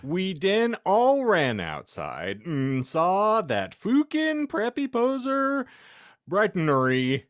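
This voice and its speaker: Man reading with very swooping, theatrical pitch. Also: fairly bright tone, no room sound, studio quality. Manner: jokey, sweary, theatrical delivery of misspelled text. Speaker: podcast host. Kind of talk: comic read-aloud of fan fiction with banter.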